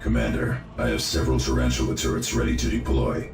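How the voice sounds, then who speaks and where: A man's voice: rumbling low, level tone, fast, gravelly, roomy sound, over music. A man playing a grim military officer in a video game, reporting formally and in clipped phrases. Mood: grim, businesslike. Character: deep-voiced grim military officer reporting turret defenses to his commander.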